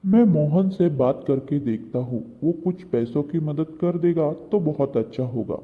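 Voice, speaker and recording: Male actor wistful poor villager, fairly high voice, cartoon story dialogue, tinny phone-like recording. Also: moderate pace, natural voice. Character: poor, envious but hopeful village men, friendly. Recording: thin phone-like sound with long reverb.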